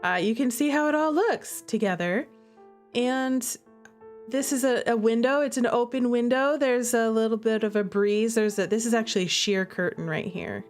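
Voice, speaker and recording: Playful high-voiced woman, music behind. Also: normal speed. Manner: playful, giggly, emphatic; repeats "okay?" and "all right?" as tags. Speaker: game developer streaming own game. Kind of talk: casual livestream commentary and chat. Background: background music.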